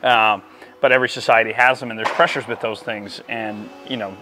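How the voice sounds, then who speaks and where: A middle-aged man co-hosting a casual baseball talk, conversational and often trailing off softly, on a lapel mic in a cluttered equipment room. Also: fairly low pitch, fairly even intonation, brisk, low-quality recording. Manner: conversational, trailing off into softer asides. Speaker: baseball program coach and co-host. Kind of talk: casual podcast chat.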